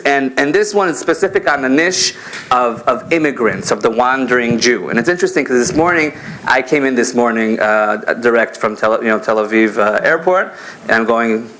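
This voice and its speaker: A man who grew up in Israel hosts a conference panel, chatty and self-deprecating, with plenty of ums along the way. His voice has a sharp, bright edge, and a faint hiss sits behind it. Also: mid-range voice, poor audio. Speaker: panel moderator. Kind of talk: casual panel moderating. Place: conference hall.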